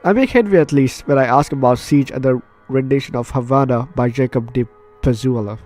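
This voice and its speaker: Male let's player, medium pitch, reads story game narration aloud with casual playful reactions, faint music underneath. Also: a little fast, a little mellow. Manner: playful, chatty asides. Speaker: gaming YouTuber.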